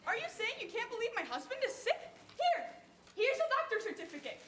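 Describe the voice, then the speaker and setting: Actress as a pushy, frantic petitioner, very high lively voice, echoey room. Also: female voice, fairly quick, slight background noise, heavily acted. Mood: insistent. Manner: melodramatic, insistent, swings to shouting. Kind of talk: stage comedy acting.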